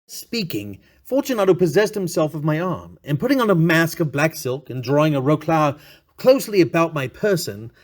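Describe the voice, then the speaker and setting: High-pitched man, theatrical, animated storyteller. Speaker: story reader. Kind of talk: dramatic gothic short-story narration.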